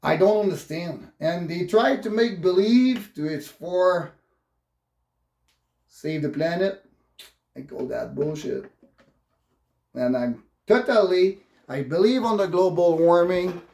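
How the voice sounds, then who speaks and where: An upset man with a French Canadian accent and halting English, a native French speaker, delivering an unscripted, profane political rant as a vlogger. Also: high voice, vocal fry, a little mellow, reverberant.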